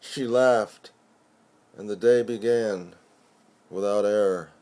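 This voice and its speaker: A man giving an expressive poetry recitation with measured, deliberate phrasing. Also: fairly low pitch. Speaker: poetry reader.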